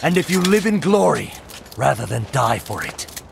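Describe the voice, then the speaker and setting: Gravelly, fatalistic young-at-arms soldier. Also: male voice, a little high, big pitch swings, moderate pace, noisy background, heavily acted. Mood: grave resignation. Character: fatalistic, devoted male soldier ready to die for glory. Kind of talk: dramatic video game cutscene acting.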